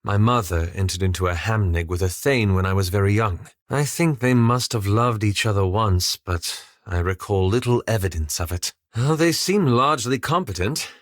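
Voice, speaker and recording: An actor playing a reserved, scholarly male fantasy adventurer in a video game, speaking formally and articulately with measured, wry understatement. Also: lowish voice, no echo, studio quality, lightly acted. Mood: reflective, sober. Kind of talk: scripted video game character dialogue.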